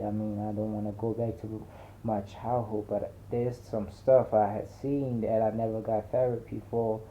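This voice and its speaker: A man's voice: on the low side, mellow, slight background noise. A man narrating an audiobook in a dramatic, conversational first-person style with slangy, emphatic delivery. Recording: muffled narrow phone-like audio.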